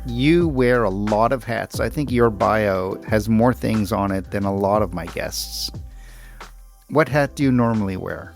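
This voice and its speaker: A man hosting a podcast, reading a measured, articulate intro and asking interview questions. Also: lowish voice, gravelly. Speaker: podcast host. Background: music.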